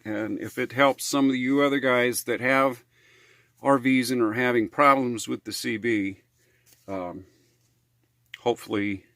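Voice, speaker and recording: A man's voice: mid-range voice, fairly bright tone, no echo. An American man talking casually in a how-to vlog, in a rambling, hesitant, folksy way. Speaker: RV living vlogger.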